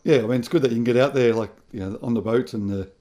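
A man hosting a casual interview over a video call. He asks loose questions and keeps things friendly with quick "yeah"s. His voice sits at an ordinary middle pitch. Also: dark tone. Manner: friendly, brief affirmations, laughs along.

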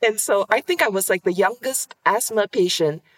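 Woman from Singapore, podcast guest, animated storyteller with a lively, swinging pitch. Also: moderate pace. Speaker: guest cardiologist. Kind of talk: podcast interview answer.

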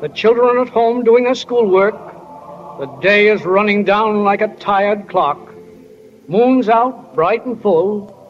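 High-pitched, folksy male narrator. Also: slow. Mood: calm, reflective. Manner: folksy, matter-of-fact storytelling. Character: homely, unhurried stage-manager narrator of a small town. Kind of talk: 1940s radio drama narration. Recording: dull, noisy 1940s radio recording.

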